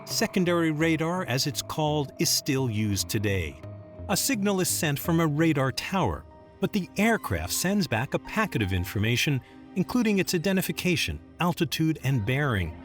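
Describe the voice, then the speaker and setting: A man's voice: fairly high pitch, very animated, moderate pace, soft background music. A man reading scripted documentary narration as the narrator.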